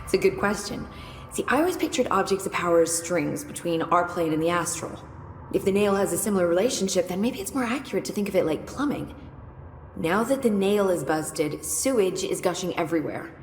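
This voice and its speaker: An actress playing a witty, curious young research scientist in a video game, explaining things in a precise, wry, chatty way. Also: female voice, on the low side, lively intonation, brisk, soft background music. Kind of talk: video game dialogue acting. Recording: echoey hall reverb with noisy game ambience.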